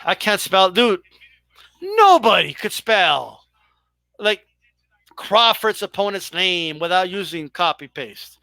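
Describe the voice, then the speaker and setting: A man's voice: high voice, sing-song, slow, gravelly, pauses often, pushed and bright, no room sound. A young man hosting a live boxing stream, chatting casually and profanely with his viewers. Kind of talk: casual live commentary to chat.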